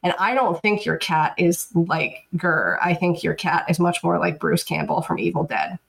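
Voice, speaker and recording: A woman in her thirties co-hosting a podcast, talking in a flat, monotone, dryly sarcastic way. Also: lowish voice, normal speed, creaky, low-quality recording. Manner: dry, sarcastic. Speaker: podcast co-host. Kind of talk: casual chat.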